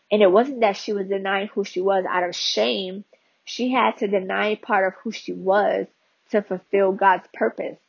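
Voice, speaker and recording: A woman's voice: mid-range voice, breathy edge, dry recording, dull audio. A woman podcast host giving a conversational devotional teaching, talking straight to her listeners with frequent "you know" and "right?" asides.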